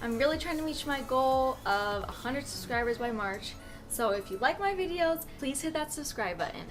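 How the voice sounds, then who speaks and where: A woman's voice: high-pitched, lively intonation, moderate pace. A woman hosting her own cooking vlog, talking in a chatty, slangy, enthusiastic way. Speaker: cooking vlogger host. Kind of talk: upbeat casual vlog intro. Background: music.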